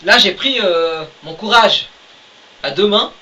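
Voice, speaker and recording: High-voiced man chatting with lots of hesitations. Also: expressive, slow pace, sharp tone. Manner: hesitant, many fillers, joking. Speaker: podcast co-host, writer of the story. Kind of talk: casual chat. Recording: distant mic, dull lo-fi sound, faint hiss.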